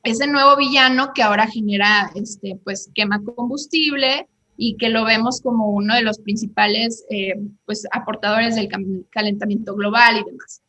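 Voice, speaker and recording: A woman's voice: fairly high pitch, steady tone, moderate pace, sharp tone, rough recording. A woman being interviewed, explaining ideas at length with examples and frequent "eh" fillers. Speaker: guest on economics show. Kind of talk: conversational explanation.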